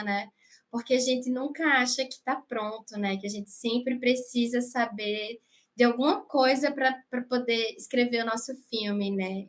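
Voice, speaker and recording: A woman with an Alagoas accent, a filmmaker guest speaking earnestly in a podcast conversation, often tagging her sentences with questions. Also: a little high. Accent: Alagoas, Brazil. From Brazil. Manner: earnest, frequent tag questions.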